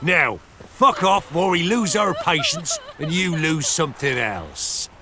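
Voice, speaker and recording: An actor playing a menacing, crude bandit leader in a fantasy game, with coarse rustic threats. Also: male voice, fairly high pitch, wildly swooping pitch, slow pace, background noise, heavily acted. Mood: mocking threat. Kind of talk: fantasy video game acting.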